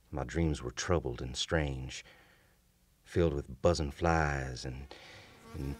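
A low, deep male voice as a doomed gentleman soldier in a radio play. He is grave and formal, with old-fashioned courtesy, telling his haunted tale as both narrator and character. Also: full character voice. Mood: hushed, brooding. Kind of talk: radio drama acting and narration. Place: small indoor radio studio. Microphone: shared vintage-style studio mic on stand.

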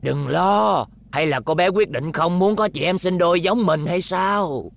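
A man voicing a sly, scheming old scientist with a gravelly voice and coaxing manner in a cartoon dub. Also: high voice, measured pace. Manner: sly, coaxing, chuckling villain.

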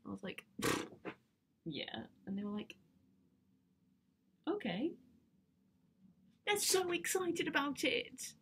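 A woman's voice: medium pitch, big pitch swings, takes it slowly, pauses often, slight background noise. A woman performing a comedic stage monologue as a dramatic, pleading girl of nearly sixteen, exasperated and whiny. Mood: excited, exasperated.

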